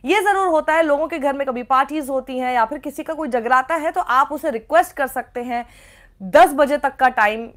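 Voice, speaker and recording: High-pitched woman in scathing news commentary. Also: brisk, a bit bright. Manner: scathing, sarcastic, rhetorical questions. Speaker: journalist presenting a report.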